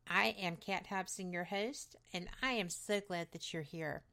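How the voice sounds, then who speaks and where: A woman radio host, hoarse and raspy, chatting enthusiastically with many restarts. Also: on the low side, a little slow, sharp tone. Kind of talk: casual radio talk.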